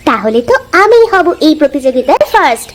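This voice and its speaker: A woman's voice: sits high, big pitch swings, quick pace, soft background music. A woman playing a confident, competitive village wife in a cartoon, speaking in an assured, coaxing way. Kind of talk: Bangla cartoon voice acting.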